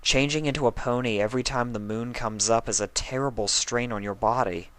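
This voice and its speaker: A man voicing a stern but caring pony princess of the night who talks softly and gravely. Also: mid-range voice, fairly even intonation, a little fast, voice pushed up, close to an everyday voice.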